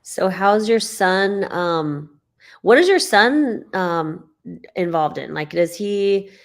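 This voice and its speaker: A woman's voice: mid-range voice, animated, takes it slowly. Upbeat woman hosting an interview. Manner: upbeat, effusive, laughs easily. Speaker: host. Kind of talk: casual interview chat.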